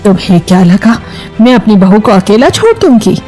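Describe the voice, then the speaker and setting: A woman's voice: medium pitch, very animated, normal speed, warm tone, faint music behind, lightly acted. A woman playing a firm, protective middle-aged mother-in-law in a Hindi moral story, speaking emphatically.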